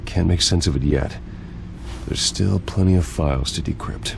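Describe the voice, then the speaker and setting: A man's voice: low-pitched, normal speed, vocal fry, noisy background. A man playing a brooding, guarded billionaire vigilante in a video game, speaking in a terse, dryly understated way. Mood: focused. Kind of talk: dramatic video game voice acting.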